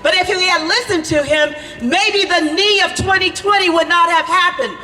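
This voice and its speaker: An older woman, a grandmother and preacher, giving a fiery protest speech outdoors, often shouting in repeated phrases. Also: extremely high pitch, normal speed. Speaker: preacher speaking at a rally. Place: outdoor rally at city hall. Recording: reverberant PA sound with loud crowd.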